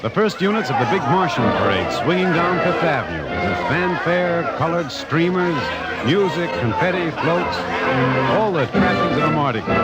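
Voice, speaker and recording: Casting: genial American outside-broadcast announcer, fairly high lively voice, vintage commentary over a loud crowd. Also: male voice. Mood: excited. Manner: declamatory announcing with hesitations. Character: genial, excitable male radio outside-broadcast reporter. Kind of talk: 1950s live radio commentary acting. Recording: loud crowd and band music behind.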